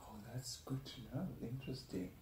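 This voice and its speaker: Upbeat chatty host, man, mid-pitched, recorded a bit off-mic in a roomy space. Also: animated, moderate pace, mellow, slight background noise. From Africa. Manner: upbeat, chatty, laughs often. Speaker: show host. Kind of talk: casual interview hosting. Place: room at a wooden table. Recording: roomy, slightly distant mic.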